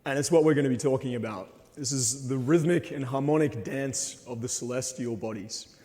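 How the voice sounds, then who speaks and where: Slightly hoarse man, earnest and halting, with a long hall reverb around his voice. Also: medium pitch, dark tone. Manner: hesitant, frequent ums, earnest. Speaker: conference speaker. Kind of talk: presentation talk with slides. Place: conference hall.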